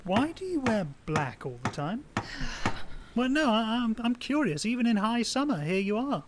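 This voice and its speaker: An actor playing a poor, self-pitying, lovesick young man in an audio drama, talkative and halting, plaintive, on a noisy recording. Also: extremely high pitch, very animated. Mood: hesitant, curious. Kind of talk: naturalistic audio drama acting.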